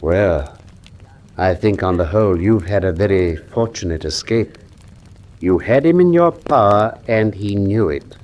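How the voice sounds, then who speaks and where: A man playing a shrewd, courteous, commanding gentleman detective in a radio drama, with a precise, formal delivery. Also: lowish voice, expressive, unhurried, muffled recording. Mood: firm, probing.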